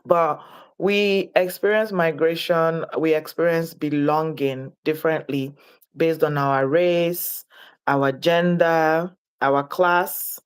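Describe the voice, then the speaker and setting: A woman from Nigeria, speaking as a guest in an interview, reflective and deliberate in her delivery. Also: deep voice, steady tone, clear, resonant tone. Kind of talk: interview conversation.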